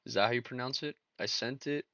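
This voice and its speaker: A man's voice: mid-range voice, fast, vocal fry, pushed and bright, no echo, highs cut off. Slangy young man streaming his trades. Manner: slangy, laid-back, talks to chat as boys and brother. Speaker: livestream trader and host. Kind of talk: casual live-stream chat while trading.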